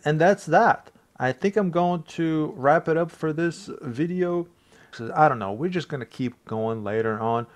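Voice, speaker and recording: A man from Bosnia with a Bosnian accent, English his second language, casually talking through a software tutorial for his channel with rambling asides and self-deprecating chuckles. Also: a little high. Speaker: tech tutorial creator. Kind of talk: casual screen-recorded tutorial.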